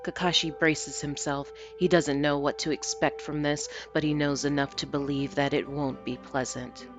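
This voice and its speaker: A woman's voice: sits low, level tone, normal speed, over music. A woman reading story narration aloud in a dramatic, storytelling style.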